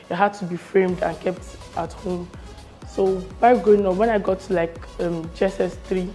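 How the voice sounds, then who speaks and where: A young Nigerian woman talks frankly about herself in a low, mellow voice. She tends to repeat her points, and music plays faintly behind her. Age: 20s. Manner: candid, repeats herself for emphasis. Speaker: reality show contestant. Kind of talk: confessional interview.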